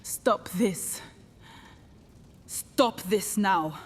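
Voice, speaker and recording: A woman playing a frightened young noblewoman under a spell in a horror audio drama, halting and dazed. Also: medium pitch. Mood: desperate. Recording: long reverb on the voice, music behind.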